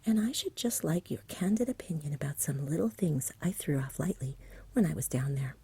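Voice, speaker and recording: A woman voicing a lazy, genial, poetry-loving male dragon from a storybook in a soft whisper, with a chatty, polite, dreamy manner. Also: low-pitched, expressive, fairly quick, husky, dry recording, full character voice. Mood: bashful, eager.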